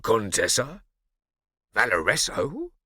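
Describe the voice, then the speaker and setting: A man's voice: lowish voice, wildly swooping pitch, slow pace, bright, forceful tone, breathy edge, no room sound, clean studio sound, exaggerated voice. Man doing a blustering red-bearded bully. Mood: flustered. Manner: sneering, roaring, blustering. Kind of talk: audiobook character voice.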